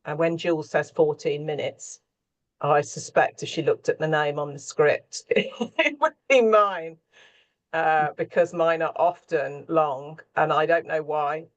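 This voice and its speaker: A woman's voice: low-pitched, a little slow. A woman speaking as an interview guest, explaining her writing work in an informal, discursive, self-interrupting way.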